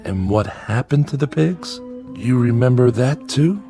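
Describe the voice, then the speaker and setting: An actor playing a nervous farmer father in a radio drama, folksy and halting, placating with false joviality. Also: mid-range voice, expressive, dark tone, faint music behind.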